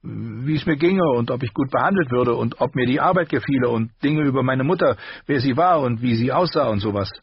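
A man voicing a shy young male blacksmith apprentice, speaking quickly and eagerly. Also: mid-range voice, breathy edge, no room sound, pitched above the performer's own voice. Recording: dull lo-fi recording.

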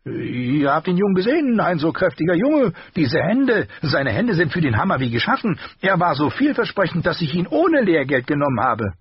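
Man doing a high stubborn old armorer. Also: very animated, normal speed, few pauses, husky, no room sound, heavily acted. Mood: pleading. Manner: high strained pleading voice. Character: gruff older male master armorer, stubborn. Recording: dull lo-fi recording.

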